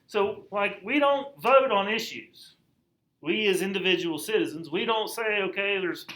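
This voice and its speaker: A man teaching a high school history class in a casual lecture style, repeating key points and checking in with the class. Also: bright, forceful tone, reverberant, rough recording. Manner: conversational, repeats key points, asks class if it makes sense.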